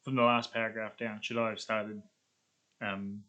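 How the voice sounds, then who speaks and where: A man's voice: fairly low pitch, flat delivery, normal speed, sharp tone, dull audio. A man talking informally and thinking aloud in a casual vlog style. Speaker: video creator.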